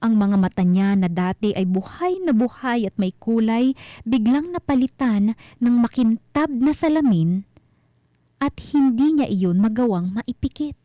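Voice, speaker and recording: Dark-toned woman narrating radio horror. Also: a little high, animated, a little slow, dry recording. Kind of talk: scripted dramatic horror storytelling.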